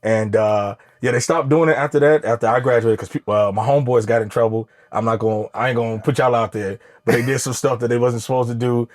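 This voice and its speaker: A man guesting on a podcast, relaxed and slangy, often backing up the host with quick yeps. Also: medium pitch. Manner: relaxed, slangy, frequent affirmations. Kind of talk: casual podcast conversation.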